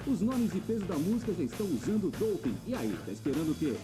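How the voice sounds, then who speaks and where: High-pitched punchy ad announcer man. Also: very animated, fast. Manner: punchy, salesy. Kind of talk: energetic radio-style ad read. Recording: ad with clear music underneath.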